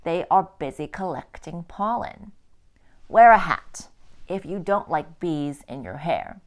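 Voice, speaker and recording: A woman reading a children's picture book aloud like a teacher, with expressive, deliberate phrasing for young listeners. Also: on the low side. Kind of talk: children's storybook read-aloud.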